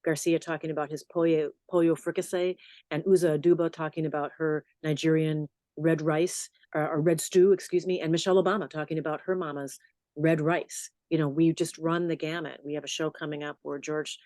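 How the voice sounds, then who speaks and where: A middle-aged woman journalist with a low voice, answering interview questions in a storytelling manner with animated asides. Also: fairly quick, dry recording. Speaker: journalist and podcast host.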